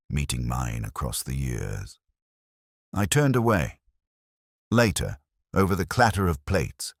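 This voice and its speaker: Man voicing a world-weary older inspector, extremely low pitch, lively intonation, dry close sound, measured wry literary narration, detective audio drama. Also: no echo, full character voice. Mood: watchful, brooding. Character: brooding, world-weary older male English police inspector, reflective and melancholy. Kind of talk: scripted first-person literary narration.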